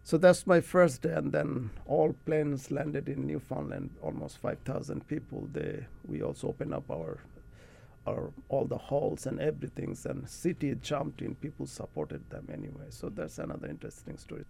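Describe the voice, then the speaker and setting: Middle-aged male interview guest, Bangladeshi accent, very creaky voice with animated intonation, casual anecdotal storyteller. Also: a little high, normal speed, slight background noise. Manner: chatty, anecdotal, often adds "you know". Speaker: interview guest, academic researcher.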